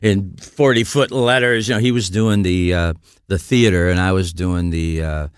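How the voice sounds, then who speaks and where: A man's voice: low-pitched, fairly even intonation, moderate pace, smooth, no echo, clean studio sound. An older man from the US Midwest, a guest musician telling long anecdotes with many restarts. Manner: rambling anecdotes, frequent restarts. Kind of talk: storytelling in conversation.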